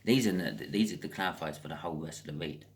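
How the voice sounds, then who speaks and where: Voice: a bass voice, fast talker, slight background noise. A British speaker giving a casual, improvised tarot card reading as host, chatting and thinking aloud with wry asides.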